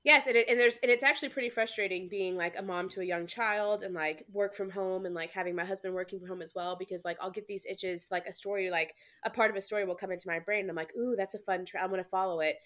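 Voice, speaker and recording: A woman in her thirties chatting as a co-host in a book club podcast, telling things in an emphatic, animated way. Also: mid-range voice, fast talker, hardly any pauses. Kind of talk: casual book club chat.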